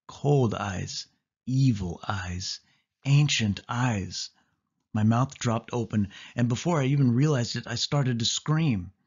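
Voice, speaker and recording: A man narrating a suspense story in the first person, with expressive, dramatic delivery. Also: mid-range voice, dull audio. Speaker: audiobook narrator.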